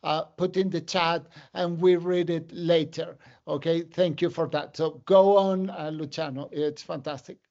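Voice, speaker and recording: A man moderating an online talk, speaking haltingly with fillers as he gives directions to the audience. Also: fairly high pitch, fairly even intonation, a little mellow, lo-fi sound.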